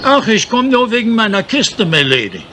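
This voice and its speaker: An actor playing an obliging, rustic old gardener in a German radio crime drama, folksy and deferential, often repeating himself. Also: male voice, sits high, huge pitch swings, normal speed. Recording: noisy vintage radio recording with outdoor ambience.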